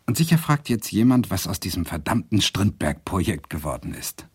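Low male narrator, thoughtful lecturer telling his story, radio play, noisy ambience behind. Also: big pitch swings, moderate pace. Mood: reflective. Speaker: narrator and lead character, a lecturer. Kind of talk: reflective radio drama narration.

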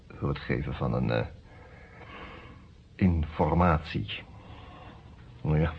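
An actor playing a male defence lawyer in a radio play, formal and deliberate, with hesitant pauses. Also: deep voice. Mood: suspenseful narration. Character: male defence lawyer, narrating his case, hesitant under questioning. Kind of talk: Dutch radio play narration and dialogue. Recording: dull, noisy old radio recording.

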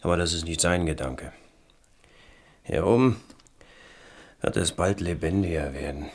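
An actor playing a gruff, distrustful, embittered male recluse and inventor in a German radio play. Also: deep voice, measured pace. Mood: confiding, subdued. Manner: snappish, distrustful.

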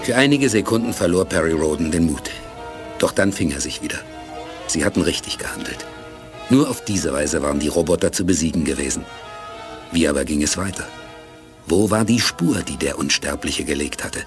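A deep-voiced radio play storyteller. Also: male voice, expressive, moderate pace, over music. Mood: grave. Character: calm male storyteller of a sci-fi radio play. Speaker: narrator. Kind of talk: scripted radio play narration.

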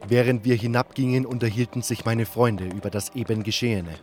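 A man performs a serious first-person narrator of a fantasy audio drama. His voice is medium in pitch and measured, reading scripted narration over light music. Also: steady tone, a little mellow, close to an everyday voice. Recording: music bed behind.

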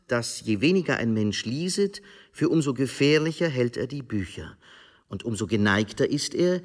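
A man reciting scripted literary quotations as a reader in a radio production. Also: medium pitch.

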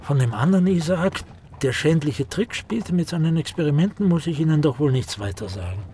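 An actor playing a hateful, pedantic pamphleteer in a radio drama, declaiming as if reading out a tract. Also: male voice, fairly high pitch, expressive, a little fast, dark tone, a little hiss or hum, lightly acted. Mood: venomous, declaiming.

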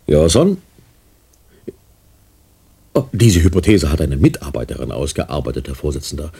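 An actor playing an eager, calculating research scientist in a radio drama, explaining officiously and hesitating between points. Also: male voice, very deep voice, big pitch swings, moderate pace, warm tone, slightly rough, exaggerated voice. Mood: deferential, explanatory. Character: eager, calculating male research scientist addressing his chairman.

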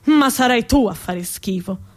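A woman's voice: on the high side, animated, measured pace, darkish tone. A woman performing a comic theatrical monologue as an indignant, sarcastic, foul-mouthed female rat who sees herself as a proper lady. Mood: disgusted, scornful. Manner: ranting, sarcastic, emphatic.

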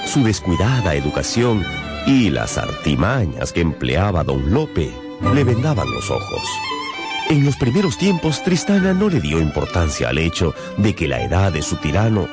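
Male radio novel narrator, deep pitch, theatrical storytelling delivery, prominent music bed. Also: wildly swooping pitch, normal speed.

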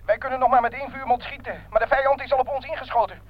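An actor playing an agitated military man shouting over a field telephone in a radio drama. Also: very high voice, fairly even intonation, racing, dry recording. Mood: agitated, urgent. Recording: dull, dry telephone-like radio play recording.

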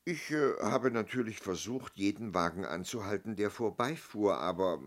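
An actor in a German radio play playing a polite older man giving a statement, husky and halting, courteously over-explaining. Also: fairly low pitch, expressive. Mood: polite, hesitant. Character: polite, hesitant older male robbery victim giving a statement.

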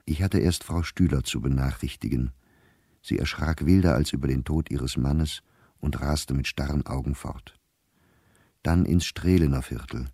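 An actor playing a sober, weary male diarist in wartime, reading his entries in a measured, deliberate way for a radio play. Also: extremely low pitch, dark tone, slightly rough, no echo, studio quality, heavily acted. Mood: grave. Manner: measured, deliberate, clipped diary phrasing.

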